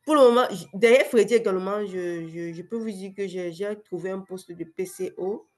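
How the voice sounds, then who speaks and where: A young adult woman whose voice is worn down by a cold talks over a video call. She stumbles often, restarting phrases and filling pauses. Her pitch sits in an ordinary middle range. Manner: hesitant, many fillers and restarts. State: sick with a cold, voice affected. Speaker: webinar guest, former client. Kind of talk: casual testimonial on a video call.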